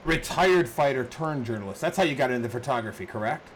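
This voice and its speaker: A man's voice: mid-range voice, brisk, a little hiss or hum. Upbeat man hosting a casual podcast. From Chicago. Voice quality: relaxed, upbeat with frequent fillers. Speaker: podcast host. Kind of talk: casual podcast intro and interview.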